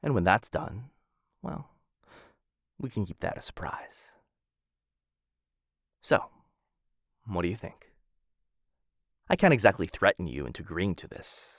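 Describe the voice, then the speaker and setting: A man performing an ASMR roleplay as a suave, smitten assassin of a noble house, speaking in formal, courtly phrases with hesitant softer asides into a close mic. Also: low-pitched, very animated, a little slow, vocal fry, long pauses, dry recording. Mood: suave, courting. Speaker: voice actor in a boyfriend roleplay audio. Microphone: close studio-style mic.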